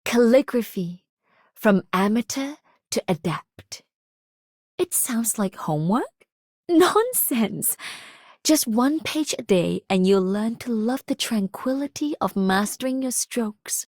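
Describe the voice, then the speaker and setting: A woman's voice: fairly high pitch, lively intonation, slow, darkish tone, no room sound, clean studio sound, full character voice. A woman voicing a kindly, studious, composed academy woman in a video game, earnest and encouraging.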